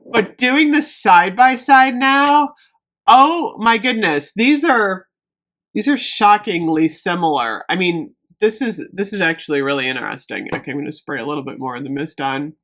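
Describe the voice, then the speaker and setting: A woman chatting casually to viewers in a product review vlog, emphatic and thinking out loud as she goes. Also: on the low side. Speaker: beauty product review vlogger.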